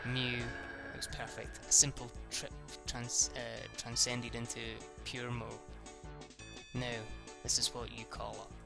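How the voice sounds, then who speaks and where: A man's voice: medium pitch, gravelly. A man reading a visual novel aloud as dramatic narration, an amateur who stumbles over words and restarts. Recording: music playing behind the voice.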